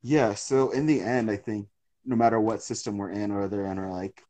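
A man's voice: fairly low pitch, steady tone, normal speed, mellow, a little hiss or hum. A young man in casual conversation on a live video call, speaking thoughtfully and rambling with frequent fillers and restarts, recorded close to a phone indoors at home. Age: young adult. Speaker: artist in conversation. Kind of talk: casual live-stream chat. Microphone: phone close to face on a video call.

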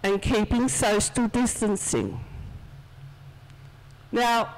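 Children's talk speaker, chatty and unhurried; distant, reverberant church sound. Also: medium pitch, sing-song. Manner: chatty, conversational storytelling.

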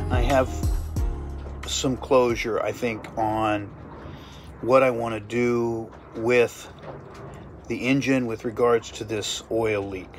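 A man's voice: mid-range voice, slight background noise. A man in his fifties, chatting casually about boat repairs while filming himself on a phone in a small boat cabin. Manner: explains step by step, chatty. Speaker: sailing vlogger. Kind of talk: casual vlog narration. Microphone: phone held at arm's length.

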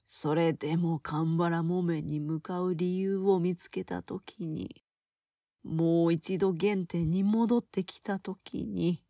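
A woman's voice: lowish voice, fairly even intonation, slow, mellow, no room sound, studio quality. A woman playing a stern, wise old weaver in an audio drama, giving weighty admonitions. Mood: solemn, admonishing. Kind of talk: Japanese audio drama acting.

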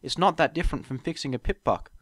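A man's voice: fairly high pitch, animated, fast talker, a bit bright, no room sound, pitched above the performer's own voice. A man voicing a young female pony adventurer in an audiobook, earnest, casual and a little awkward. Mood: eager, confident. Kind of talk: audiobook character voice.

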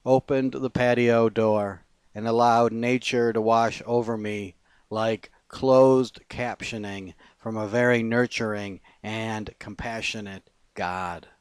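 Slow, low-voiced man reading poetry. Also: steady tone, clear, resonant tone, no room sound. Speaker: poet.

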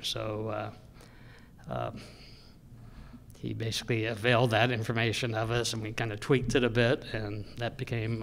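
An older man in his sixties gives an informal talk into a podium microphone. His delivery is halting and loosely rambling, with a middle-pitched voice. The room adds a long, echoey reverb around his words. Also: fairly bright tone. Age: 60s. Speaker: local history journal editor speaking at an event. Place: indoor public room, speaking at a podium. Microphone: gooseneck podium microphone.

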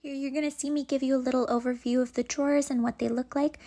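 A woman's voice: high-pitched, steady tone, fast, loud music behind the voice. A woman vlogger giving a casual, chatty voice-over about home organizing. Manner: chatty, informal.

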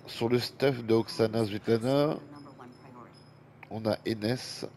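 A man's voice: medium pitch, steady tone, slow, darkish tone. A man with a French accent, congested from a cold, chatting casually as he talks through a video game and reads viewer comments. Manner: chatty, breathes heavily at times. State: has a head cold, congested. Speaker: gaming YouTuber. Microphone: desk microphone with fan running nearby. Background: faint fan noise.

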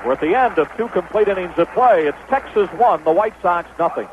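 A man's voice: high voice, expressive, brisk. An American man calling a baseball game as a play-by-play announcer in 1970s radio style. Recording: dull, noisy old AM radio broadcast.

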